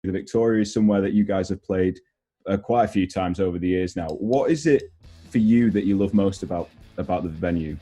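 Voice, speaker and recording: A man's voice: low-pitched, brisk, mellow, husky, faint music behind. A man chatting informally as a radio interviewer. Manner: informal, conversational. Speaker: radio interviewer and gig promoter. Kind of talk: casual interview chat.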